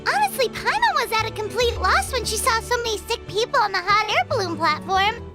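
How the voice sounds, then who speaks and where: A woman voicing a tiny, eager, excitable fairy companion girl in a video game, talking in an exclamatory way. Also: very high voice, a little fast, over loud music. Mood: eager, admiring. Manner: refers to self in third person, exclamatory.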